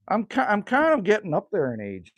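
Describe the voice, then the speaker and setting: A man's voice: sits high, wildly swooping pitch, fairly quick, no echo. A man, chatting casually and rambling with viewers as a livestream host outdoors by a rural cabin. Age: 50s. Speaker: livestream host reading chat.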